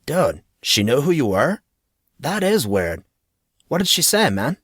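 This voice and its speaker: A man voicing an excitable, laid-back teenage boy in an audiobook, talking in slangy dude speak. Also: medium pitch, breathy edge, no room sound, studio quality, pitched above the performer's own voice, heavily acted. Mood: amazed. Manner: slangy, drawn-out dude talk.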